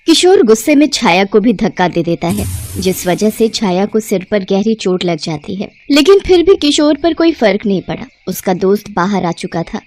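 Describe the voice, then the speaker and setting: A woman narrating a scripted moral story for an animated channel, clear and steady. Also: medium pitch, normal speed, hardly any pauses, soft background music.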